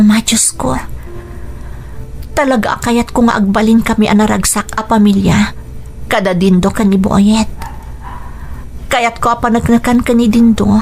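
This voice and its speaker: An actress playing a patient, devoted young wife in a radio drama, earnest and long-suffering. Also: female voice, mid-range voice, a little mellow, a little hiss or hum, natural voice.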